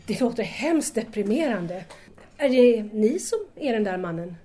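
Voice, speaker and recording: A woman's voice: on the high side, lively intonation, warm tone, close to an everyday voice. A woman playing an eager, admiring young student in an audio drama, curious and deferential. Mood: excited.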